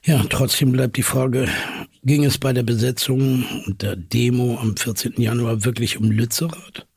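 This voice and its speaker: A man playing a sceptical questioner of activists, husky and gravelly, probing but hesitant, in naturalistic audio drama. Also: medium pitch, fairly even intonation, moderate pace, a little mellow, no room sound, studio quality.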